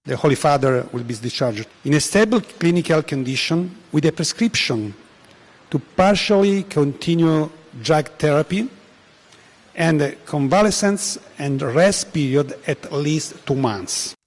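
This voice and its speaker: A man's voice: fairly high pitch, lively intonation, measured pace, slightly rough. A man delivering a formal official statement in an echoing hall. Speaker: hospital surgeon giving a statement. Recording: echoey hall, long reverb.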